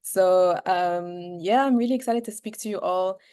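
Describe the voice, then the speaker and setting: A woman's voice: lowish voice, normal speed, warm tone, clean tone. A young woman from Egypt giving an earnest, casual talk over a video call, with many fillers and restarts. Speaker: guest speaker.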